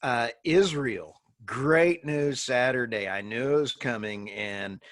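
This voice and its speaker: A man co-hosting an energy industry talk show with casual, jokey commentary delivered in halting bursts. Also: mid-range voice, a bit bright, no room sound, studio quality. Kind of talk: casual commentary and joking banter.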